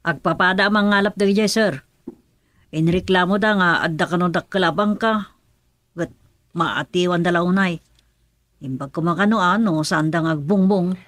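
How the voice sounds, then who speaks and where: A woman's voice: lowish voice, normal speed, long pauses, fairly bright tone, no echo, close to an everyday voice. A woman with an Ilocano Filipino accent playing a loyal, plain-spoken underling in a radio drama. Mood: reporting.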